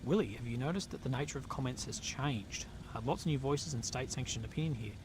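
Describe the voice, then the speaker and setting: A man's voice: medium pitch, quick pace. A man hosting a casual live stream, reading out chat questions and answering bluntly, with frequent fillers and the odd swear word. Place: outdoors in a conflict zone. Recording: noisy outdoor live stream.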